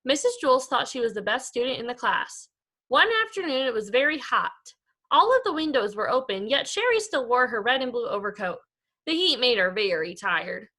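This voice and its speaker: A woman with a bright, high voice reads to young children as their teacher. Her delivery is expressive and very melodic, moving freely up and down as she tells the story. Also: brisk. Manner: expressive, addressing young pupils. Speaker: elementary school teacher. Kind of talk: animated children's read-aloud.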